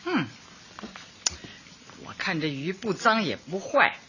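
Lively, motherly community leader. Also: lowish voice, slow pace, background noise. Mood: chiding. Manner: motherly, advising and gently scolding. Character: kindly, plain-spoken older female community party secretary. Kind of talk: Chinese radio drama acting. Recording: muffled radio drama with music underneath.

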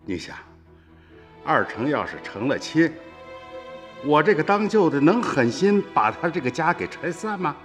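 A man's voice: fairly high pitch, sing-song, normal speed, pauses often, fairly bright tone, soft background music. An actor playing an old farmer grandfather who recounts his past as a reflective storyteller in a radio drama. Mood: animated recollection. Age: older. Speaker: narrator telling the story in recollection.

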